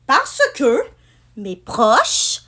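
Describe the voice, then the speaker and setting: A woman's voice: high-pitched, wildly swooping pitch, drawn-out words, pushed and bright, slightly rough. A young woman from Quebec with a partly France-style accent, chatting to camera in a rambling way with many fillers. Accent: Quebec French, with some France-style features. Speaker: vlogger. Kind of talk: casual chat to camera.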